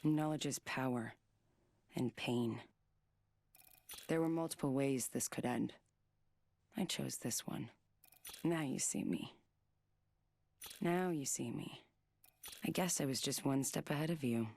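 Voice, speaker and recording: Low, gravelly, cool female fighter. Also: takes it slowly, long pauses, darkish tone, dry recording, full character voice. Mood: calm menacing. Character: cool, stealthy female fighter, calm and menacing. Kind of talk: video game character voice lines.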